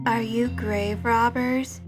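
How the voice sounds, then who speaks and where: A woman voicing an eerie undead little mummy girl in an audio drama, quiet, slow and deadpan. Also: a little high, over music.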